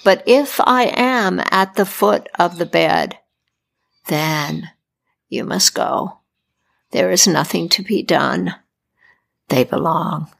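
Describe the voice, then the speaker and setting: A woman voicing a stern male Death figure in a told fairy tale, with slow, measured warnings and breathy whispers. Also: medium pitch.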